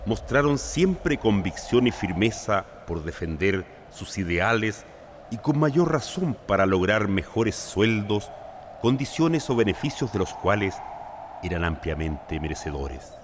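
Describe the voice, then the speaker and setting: A man's voice: on the low side, lively intonation, unhurried, noisy background. A man delivering solemn, scripted narration.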